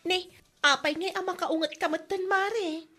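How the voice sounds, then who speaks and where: High sharp female voice, indebted wife, defensive, plaintive, radio comedy drama. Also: fairly even intonation, moderate pace. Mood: defensive, pleading. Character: put-upon indebted wife, defensive and complaining.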